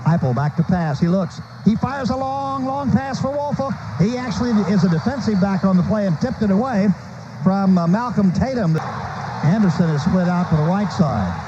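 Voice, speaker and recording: High-pitched American man calling football on radio. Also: lively intonation, moderate pace. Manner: clipped running phrases that swell on big plays. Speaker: play-by-play announcer. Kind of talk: live radio football commentary. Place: stadium broadcast booth. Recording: muffled noisy old radio broadcast.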